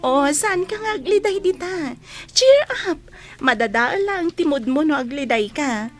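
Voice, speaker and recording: A woman plays a young female student in a radio drama. Her voice is high, and she comes across as kind, frank and playful. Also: expressive, slow. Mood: friendly, encouraging. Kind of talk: Filipino radio drama acting.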